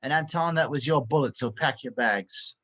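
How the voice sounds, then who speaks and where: A man playing a stern, dry-witted spy instructor in an amateur table read, crisp and matter-of-fact. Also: medium pitch, normal speed, a bit bright, close to an everyday voice. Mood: brisk, dry.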